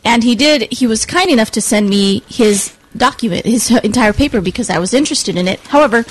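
A woman with a fairly high voice reading out listener mail on a friendly group podcast. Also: lively intonation, brisk, slight background noise, low-quality recording. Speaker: podcast co-host. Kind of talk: casual chat, reading listener email.

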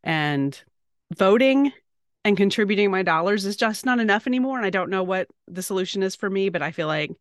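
A woman's voice: mid-range voice, expressive, fairly quick, dry recording, studio quality. A woman co-hosting a podcast in a conversational style. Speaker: podcast co-host.

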